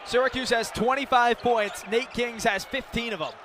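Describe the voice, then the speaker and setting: High-voiced male basketball color commentator. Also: fairly even intonation, moderate pace. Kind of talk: live basketball radio commentary. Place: courtside in a large indoor arena. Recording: noisy arena behind the voice. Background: arena ambience, basketball bounces.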